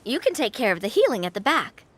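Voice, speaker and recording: A woman's voice: on the high side, very animated, quick pace, fairly bright tone. A woman playing a confident, friendly young monster hunter in an anime game, upbeat and encouraging.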